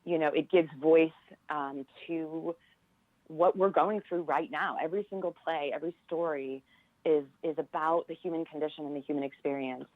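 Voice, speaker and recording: A woman's voice: sits low, fairly even intonation, moderate pace, dry recording, narrow, tinny audio. An American woman speaking earnestly and reflectively as a guest in a radio interview, over a band-limited line. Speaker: theater professor, interview guest.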